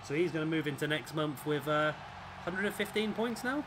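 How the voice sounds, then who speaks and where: Hoarse, higher-pitched man commentating. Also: expressive, a little fast. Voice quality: raspy. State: hoarse, voice fading. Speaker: host and commentator of a gaming series. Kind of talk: wrestling video game commentary. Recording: clearly noisy background.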